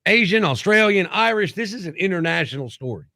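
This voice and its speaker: A man hosting a morning radio show, bantering and laughing easily between news bits. His voice sits a little high. The studio sound is dry and close. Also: animated, fairly quick, vocal fry, sharp tone, no echo. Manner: joking, laughs often, reads news stories aloud. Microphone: studio microphone.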